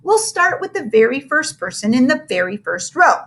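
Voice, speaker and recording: A woman reads the part of a calm male elementary teacher in a children's storybook. He explains patiently and reassuringly, in a bright voice with lively movement in pitch. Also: moderate pace. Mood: instructing. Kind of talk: children's storybook read-aloud.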